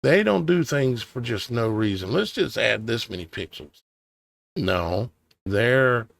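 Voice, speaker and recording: Tired gravelly man musing over scripture. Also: fairly low pitch, no room sound. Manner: thinking aloud, questioning, hesitant restarts; reads old scripture in a measured cadence. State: tired, voice starting to go. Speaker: online preacher. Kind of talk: scripture reading with musing commentary.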